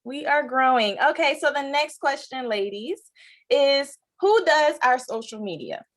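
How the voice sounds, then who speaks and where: A woman's voice: sits high, expressive, unhurried. A woman in her twenties hosting a live group call, chatting in an upbeat way and speaking straight to the audience. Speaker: host.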